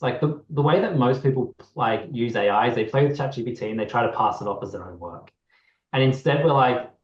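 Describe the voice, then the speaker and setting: A man co-founder of a creative AI tool, explaining in a loose, rambling interview chat over a video call. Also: mid-range voice. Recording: roomy, dull lo-fi call audio.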